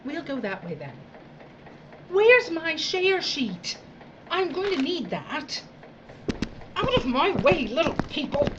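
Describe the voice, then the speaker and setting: A haughty dystopian overseer in an audio drama. Her delivery is lively and sweeping, clipped and full of disdain. The recording is muffled, with clear background noise. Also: high-pitched, slow pace, long pauses, exaggerated voice. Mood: impatient disdain. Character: haughty, contemptuous female official of a dystopian regime.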